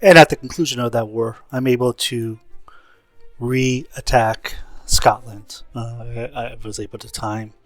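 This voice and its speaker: A man's voice: medium pitch, fairly even intonation, measured pace, no echo. A man giving casual, explanatory commentary on his video game campaign in a rambling way. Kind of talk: casual gameplay commentary recap. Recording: faint music behind voice. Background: faint background music.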